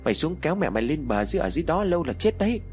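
A man voicing a surly, callous young uncle who is gruff and threatening. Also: a little high, sing-song, rapid-fire, a little mellow, pitched above the performer's own voice. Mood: taunting threat.

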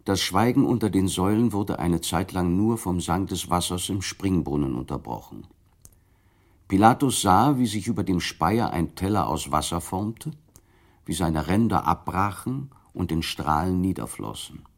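A man narrating a literary radio play in a composed, measured, descriptive manner. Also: deep voice, lively intonation.

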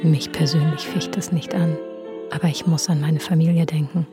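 Sly, high-voiced male gossip, acted over music. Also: level tone, normal speed, natural voice. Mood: insinuating, uneasy. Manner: clipped insinuating phrases, sometimes hushed. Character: gossiping, prejudiced society guest, sly and uneasy. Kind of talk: radio play acting. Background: loud operatic music.

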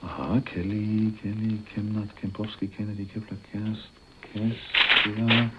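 A man acts out a polite hotel guest from an eighties radio crime play. His voice is fairly deep and courteous. The recording is a little muffled, with faint noise. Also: little pitch movement, measured pace, lightly acted. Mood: polite, searching. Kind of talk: 1980s German radio crime drama acting. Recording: dull, slightly noisy radio play recording.

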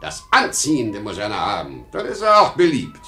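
A man's voice: lowish voice, huge pitch swings, normal speed, sharp tone, faint music behind, heavily acted. An actor playing a boastful, insecure aging travelling salesman in a 1950s German radio drama, talkative and exclamatory. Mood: proud, fatherly. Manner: talkative, exclamatory, swinging from bragging to self-doubt.

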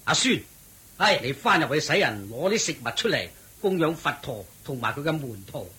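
A polite noble prince in a radio play, earnest and respectful, bright lively voice a bit on the high side. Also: male voice, normal speed, husky, exaggerated voice. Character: Prince Meda, courteous noble male lord. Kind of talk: Cantonese radio drama acting.

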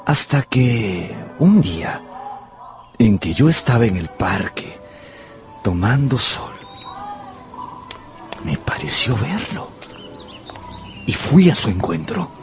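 An actor playing an obsessive, penniless young gambler who narrates a radio drama in a brooding, confessional manner. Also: male voice, on the low side, very animated, takes it slowly, pauses often, music in the background. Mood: wistful confession.

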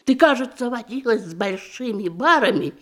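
Elderly matchmaking widow, theatrical verse comedy. Highish, very animated voice, drawn-out declamation, effusive and bossy. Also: female voice. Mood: astonished, pitying. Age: older. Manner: exclamatory, folksy, drawn-out declamation. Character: old thrice-widowed provincial woman, bossy, effusive matchmaking aunt.

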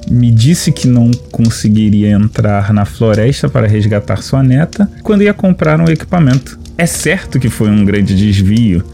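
A man acts as a hopeful, naive adventurer in a fantasy audio drama. He muses aloud to himself in a mid-pitched male voice, with soft music underneath. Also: lightly acted. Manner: musing aloud, murmuring to himself.

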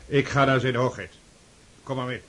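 A man acts a senior army aide in a radio play. His middle-pitched voice is husky. He is brisk and insistent, pressing his points and questions. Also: fairly even intonation, a bit bright, close to an everyday voice. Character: brisk, insistent senior Russian staff officer. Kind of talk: radio drama acting.

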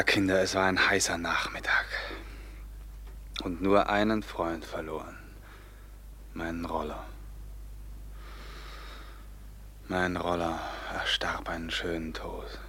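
Brooding noble robber captain, bright forceful tone, theatrical radio-drama declamation from murmur to outburst. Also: male voice, low-pitched, a little hiss or hum, full character voice. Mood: grieving. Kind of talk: classic German radio play acting.

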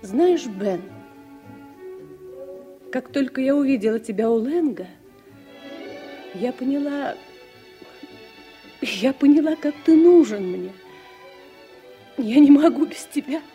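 A lovelorn woman with a teasing, strong-willed streak in a 1960s radio drama. Her voice is notably high. Soft music sits behind her. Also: animated, takes it slowly, long pauses. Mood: tender, wistful. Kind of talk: Soviet radio drama acting.

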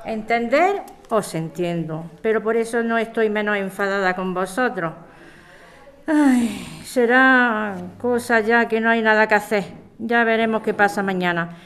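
A woman's voice: a little high, unhurried, a bit bright, smooth. A woman playing an outspoken, aggrieved grown daughter in a radio play, with an accent from Spain. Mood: resigned, rallying. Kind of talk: amateur radio drama acting.

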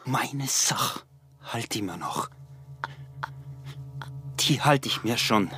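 A man's voice: mid-range voice, animated, drawn-out words, sharp tone, exaggerated voice. A man acting a suspicious, possessive peasant husband and smuggler in a radio drama, needling and stubborn, with an Austrian accent. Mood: grim, possessive.

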